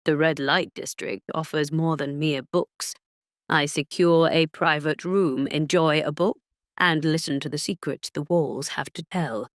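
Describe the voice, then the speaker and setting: A self-assured nobleman played by a woman narrator with a lowered pitch and a dry, close sound. He speaks formally, with playful, teasing authority, as the duke in a romance audio drama. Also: fairly even intonation, a little slow, dry recording. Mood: composed, commanding.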